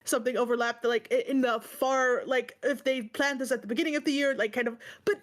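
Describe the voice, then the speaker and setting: Woman, high-pitched, casual venting, rambling, emphatic, stressed and exasperated, sweary. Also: fairly even intonation, a little fast. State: stressed and upset. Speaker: book club stream co-host.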